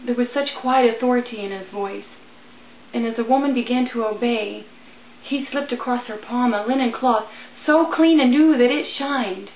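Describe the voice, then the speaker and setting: Woman doing a dramatic emotional story reading, high voice, thin phone-like sound. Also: moderate pace. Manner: theatrical, emotional delivery. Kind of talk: dramatic reading of a religious allegory. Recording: tinny, band-limited, echoey, faint noise.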